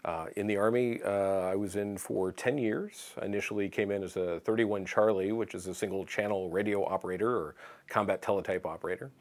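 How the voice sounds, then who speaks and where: A man with a very low, deep voice tells his story in an interview. He talks in a measured way and fills his pauses with "uh." He is a veteran recalling his time in the Army. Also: fairly even intonation, a little mellow. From United States. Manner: measured, pausing with frequent "uh". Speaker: city communications coordinator and Army veteran. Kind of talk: recorded interview testimony.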